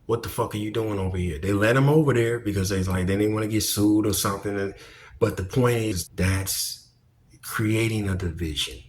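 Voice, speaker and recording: A man's voice: on the low side, moderate pace, a little hiss or hum. A man giving casual opinion commentary as a vlogger, speaking bluntly and emphatically with plenty of swearing.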